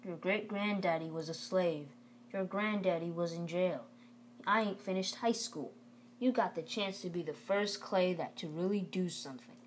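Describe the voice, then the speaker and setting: A woman's voice: on the low side, moderate pace, slight background noise, lightly acted. A woman reading aloud the part of a stern, plain-spoken father who lectures his son in a firm, folksy way. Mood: earnest, lecturing. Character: stern, plain-spoken Southern father, a working man urging schooling.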